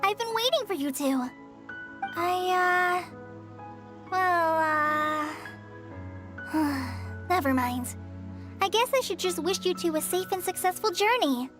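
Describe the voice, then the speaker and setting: An earnest teenage girl, a trainee ranger in a video game. She is shy and hesitant. Her high voice carries over clear background music. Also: animated, heavily acted. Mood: awkward, hesitant. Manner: hesitant, halting.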